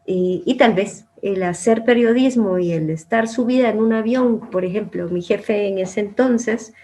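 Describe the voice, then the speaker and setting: A woman's voice: mid-range voice, measured pace. A middle-aged woman, telling her life story as an interview guest in a reflective, conversational way. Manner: reflective, with soft laughs and some trailing quiet phrases. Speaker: interview guest, former producer and journalist.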